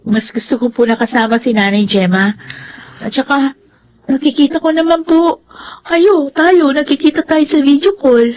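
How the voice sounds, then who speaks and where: An actress playing a devoted, respectful young daughter in a Filipino radio drama, with a Filipino accent. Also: female voice, high-pitched, normal speed, darkish tone, close to an everyday voice, poor audio. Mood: gentle pleading. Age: young adult. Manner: respectful, earnest. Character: devoted, earnest young Filipina daughter, student turned bride.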